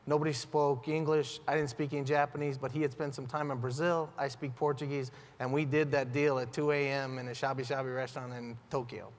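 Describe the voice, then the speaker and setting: A man on a business panel telling an anecdote in a steady way. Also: mid-range voice, a little fast, few pauses, in a large hall.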